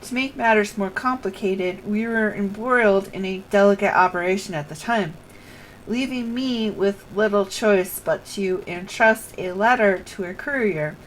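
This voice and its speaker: A woman's voice: mid-range voice, normal speed, slight background noise, poor audio. A woman game streamer carefully reading on-screen dialogue aloud, announcing each speaker before the line.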